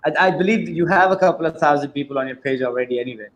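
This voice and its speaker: A man's voice: a little high, very fast, low-quality recording. A man chatting casually in an online conversation group, friendly and talkative. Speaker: participant, rapper.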